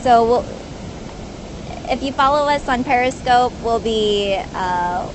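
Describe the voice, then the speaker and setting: A woman's voice: on the high side, slow pace. A woman from Hawaii working as a park ranger, chatting upbeat and casually on a live stream from an outdoor viewing platform, with frequent uh fillers. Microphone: phone live-stream. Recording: outdoor noise behind the voice.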